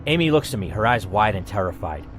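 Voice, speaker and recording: Man narrating dramatically with lively intonation. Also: lowish voice, fast. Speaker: game player and narrator. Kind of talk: dramatic first-person story narration read from a game. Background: music.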